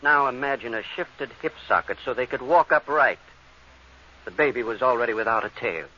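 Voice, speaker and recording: A man's voice: medium pitch, animated, brisk, pushed and bright. An actor playing an intense spaceship crewman explaining mysteries in a dramatic 1950s radio style. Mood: somber revelation. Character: urgent, explaining spaceship crewman who pieces together mysteries. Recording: dull, slightly noisy vintage radio recording.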